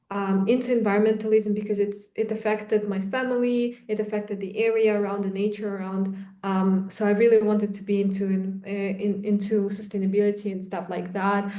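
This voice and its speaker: A woman's voice: medium pitch, warm tone. Young Kazakh woman explaining her research. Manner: explanatory, many ums and restarts. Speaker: microplastics researcher, guest. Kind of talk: conversational interview answers. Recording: echoey room.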